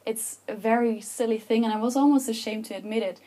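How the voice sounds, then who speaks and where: A young woman with a Dutch accent speaks earnestly to an audience and makes her case. Her voice is mellow and a little husky. She pauses on fillers and restarts as she goes. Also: a little high, fairly even intonation. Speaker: speaker giving a talk. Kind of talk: public talk to an audience.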